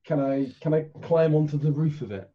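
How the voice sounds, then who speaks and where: A man's voice: fairly high pitch, steady tone, a little fast, highs cut off, a loud crowd. A man chatting casually as a player in a tabletop roleplaying game.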